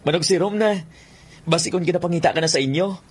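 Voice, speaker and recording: A man's voice: sits high, fast talker, slightly rough. An actor playing a lovestruck young man, stammering and exclaiming, in a Filipino-accented radio drama. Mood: flustered, smitten. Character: lovestruck, flustered young man wandering the forest. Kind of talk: Philippine radio drama acting. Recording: faint music behind the voice.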